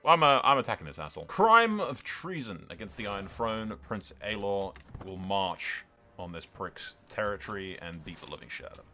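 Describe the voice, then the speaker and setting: A man's voice: fairly low pitch, big pitch swings, moderate pace, bright, forceful tone. A man doing casual let's-play commentary, sweary and blunt, slipping between exclamations and in-character roleplay narration. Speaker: gaming video host.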